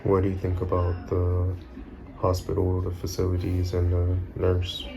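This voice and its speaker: A man chatting casually in a family vlog, speaking in a flat, monotone way. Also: deep voice, unhurried, vocal fry. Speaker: father-to-be, vlogger. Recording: echoey room with background noise.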